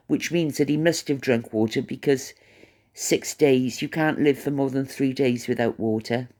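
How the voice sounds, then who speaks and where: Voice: medium pitch, darkish tone. A vlogger with a Welsh accent giving rambling, gossipy commentary to camera, chatty and stop-start, often repeating words while thinking aloud. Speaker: channel host.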